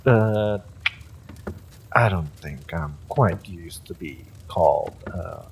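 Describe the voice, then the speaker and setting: Deep-voiced, smug evil sorcerer. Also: male voice, animated, gravelly, a little mellow, a little hiss or hum, heavily acted. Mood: theatrical, amused. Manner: mock-polite, drawn-out, gloating delivery. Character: evil male sorcerer, smug, theatrical, silkily menacing conqueror. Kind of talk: dramatic villain monologue, audio roleplay.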